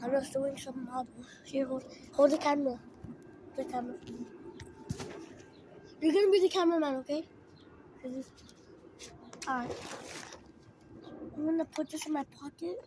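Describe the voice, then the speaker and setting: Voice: sits high, steady tone, moderate pace, long pauses, background noise. A child hosting a casual amateur video, talking to camera and companion in a bossy, repetitive way.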